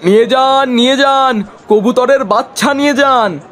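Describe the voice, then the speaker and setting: A man's voice: very high-pitched, measured pace, fairly bright tone, some chatter behind, slight background noise. A man playing an earnest, eager village husband and small trader in a cartoon story. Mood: hawking. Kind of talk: Bengali cartoon story voice acting.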